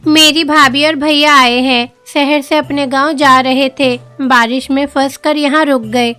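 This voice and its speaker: A woman voicing a kind, patient village wife who speaks softly and soothingly, for a cartoon story. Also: high-pitched, steady tone, a little slow, soft background music. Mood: gentle.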